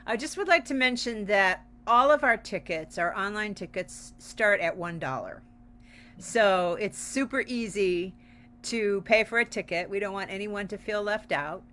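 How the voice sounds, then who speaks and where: A woman's voice: mid-range voice, lively intonation, a little slow, fairly bright tone. A woman co-hosting a casual online theater talk-back in a welcoming, emphatic way. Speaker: theater company representative, co-host. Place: online video call.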